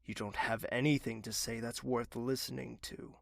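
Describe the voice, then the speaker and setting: A man's voice: mid-range voice, flat delivery, moderate pace, vocal fry, dry recording, studio quality, close to an everyday voice. An actor playing a weary, bitter young man who narrates his own story and snaps into anger. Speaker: narrator and lead character.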